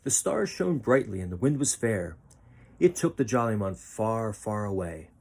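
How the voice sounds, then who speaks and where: A man reading a children's picture book aloud as a storyteller, with expressive, deliberate phrasing. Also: on the low side, gravelly, slightly rough.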